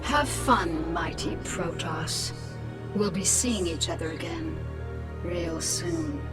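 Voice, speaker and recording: A woman's voice: on the low side, lively intonation, slow pace. Smug, taunting villain queen. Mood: mocking. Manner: taunting, sardonic. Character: smug, ruthless villain queen, mocking and cold. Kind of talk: video game voice acting. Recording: distant, under loud music. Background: loud game music.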